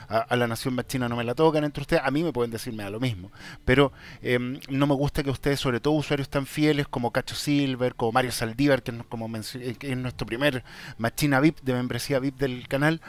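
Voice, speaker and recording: A man with a Chilean accent hosting a casual vlog, talking to viewers in a direct, emphatic and somewhat halting way. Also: mid-range voice. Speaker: YouTube channel host. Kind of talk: casual vlog talk, answering viewer questions.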